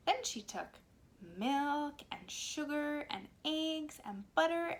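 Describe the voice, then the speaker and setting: A woman reading to young children in an expressive, deliberate way with animated phrasing and dramatic pauses. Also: high-pitched. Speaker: speech pathologist and children's storyteller. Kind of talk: expressive read-aloud storytelling for young children.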